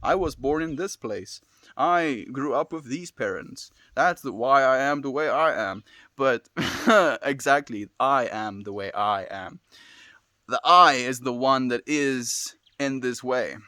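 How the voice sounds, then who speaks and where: A man from South Africa with a South African accent, hosting a live stream, chatting with viewers in a relaxed, musing way with soft laughs. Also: medium pitch, slow, dry recording, studio quality. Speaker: livestream host. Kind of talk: casual live-stream chat reading viewer comments.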